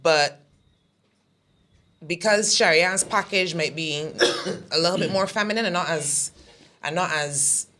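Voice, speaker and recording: A woman with a Barbadian accent and a deep, raspy voice, talking bluntly as a comedian guest in a casual interview. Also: measured pace, long pauses, pushed and bright, slight background noise. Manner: blunt, aggressive tone.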